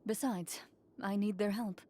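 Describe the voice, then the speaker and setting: An actress voicing a calm, composed young woman who speaks in a measured, quietly firm way, in a video game. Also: medium pitch, close to an everyday voice.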